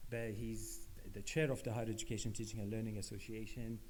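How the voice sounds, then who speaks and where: A man's voice: fairly low pitch, little pitch movement, fast, a little mellow. Man giving a conference welcome, faint hiss. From not from Scotland. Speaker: university professor introducing a speaker. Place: conference hall.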